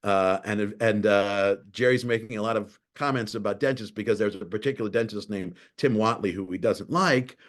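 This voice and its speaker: A man's voice: on the low side, moderate pace, hardly any pauses. A male professor giving a lecture in a thoughtful way, often repeating and restarting words.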